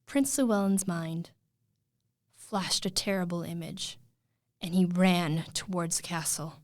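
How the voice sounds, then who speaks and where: A woman retelling a fairy tale as a storyteller, in a dramatic, expressive way with suspenseful pauses. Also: on the low side, no room sound.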